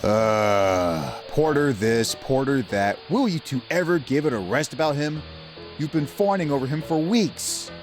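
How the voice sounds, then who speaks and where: A man's voice: mid-range voice, expressive, measured pace, faint music behind, close to an everyday voice. A man voicing a grumpy, impatient railway engine in an audio drama, exasperated and complaining.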